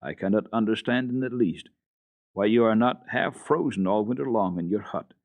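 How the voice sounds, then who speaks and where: A man's voice: lowish voice, normal speed, no room sound, narrow, tinny audio, close to an everyday voice. A man voicing an earnest village pastor in a storybook reading, admonishing yet friendly, with a hearty, preaching manner. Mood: friendly, pleading.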